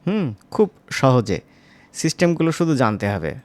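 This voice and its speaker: A man teaches software in a casual, informal way, speaking directly to viewers as a tutor. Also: medium pitch, big pitch swings, moderate pace, no echo. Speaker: animation tutor.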